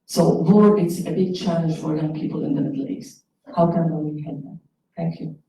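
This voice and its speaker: Gravelly, earnest woman. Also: low-pitched, fairly even intonation, moderate pace, mellow, a little hiss or hum. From Syria. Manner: earnest, impassioned. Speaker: audience member, foundation director. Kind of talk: question from the floor at a panel. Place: conference room. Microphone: handheld microphone. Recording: echoey hall, distant mic.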